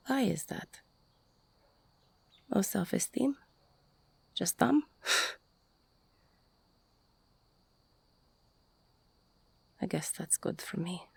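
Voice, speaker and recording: A woman playing an older, tough ex-con girlfriend in an intimate audio roleplay, tender, teasing and insecure, confiding haltingly in a husky, half-whispered voice. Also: lowish voice, exaggerated voice. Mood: wistful, teasing. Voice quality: husky, gravelly, breathy.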